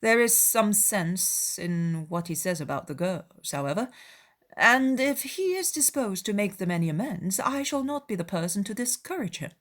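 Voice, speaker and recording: A woman's voice: medium pitch, very animated, normal speed, fairly bright tone. Woman acting a querulous, indignant middle-aged mother. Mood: grudging. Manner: emphatic, complaining.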